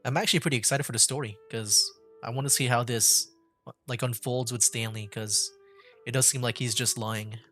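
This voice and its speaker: A male streamer chats casually over a game. His mid-pitched voice is amused and talkative, with light music playing behind it. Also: fairly even intonation, moderate pace, a bit bright. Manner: chatty, amused. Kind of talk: casual gaming commentary.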